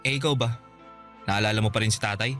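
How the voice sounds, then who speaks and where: A man's voice: on the low side, quick pace, a bit bright, faint music behind, lightly acted. A male actor with a Filipino accent playing a resentful, guarded younger brother in his twenties in a radio drama, curt and defensive. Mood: irritated.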